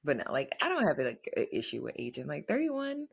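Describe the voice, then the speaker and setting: Low-voiced woman in her 30s, chatty podcast co-host at home, animated storytelling. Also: fairly quick, creaky, slightly rough. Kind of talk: casual chat. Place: her home, in front of a flower wall.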